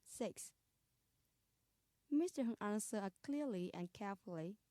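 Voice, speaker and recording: A woman with a mellow, mid-pitched voice reads out English exercises for beginners. She speaks carefully and plainly, and the recording is dry, with no room echo. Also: animated, measured pace. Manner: plain, careful dictation of items. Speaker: English listening-practice reader. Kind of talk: scripted reading of textbook exercises.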